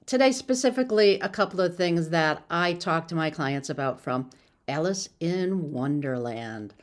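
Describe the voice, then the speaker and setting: A woman's voice: low voice, lively intonation, normal speed. A woman giving an informal, encouraging motivational talk as a success coach, sometimes stumbling over her words.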